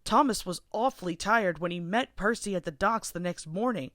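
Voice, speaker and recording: A woman reading a children's storybook aloud as an expressive narrator, with music underneath. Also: mid-range voice, normal speed, no room sound, studio quality. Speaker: storybook reader.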